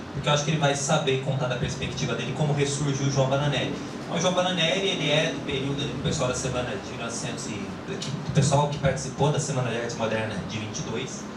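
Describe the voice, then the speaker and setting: A man, a film director, explaining history to a panel in an orderly way. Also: mid-range voice. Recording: echoey room, distant mic, loud noise.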